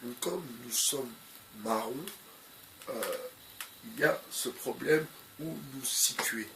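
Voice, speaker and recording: A man's voice: medium pitch, slow, vocal fry, background noise. A man with a cold giving unscripted commentary to his viewers, hesitant and full of fillers, often repeating the same phrases. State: has a cold. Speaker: video commentator.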